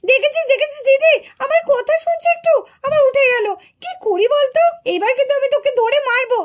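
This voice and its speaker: A woman's voice: extremely high pitch, a little fast. A woman voicing an exasperated, scolding village mother in a children's puppet cartoon, complaining in a fretful way.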